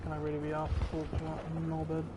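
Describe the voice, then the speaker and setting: A man's voice: a little high, monotone, fast talker, very noisy. A man commentating casually on his gameplay, sarcastic and mocking the characters he meets. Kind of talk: casual let's play commentary. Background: game music.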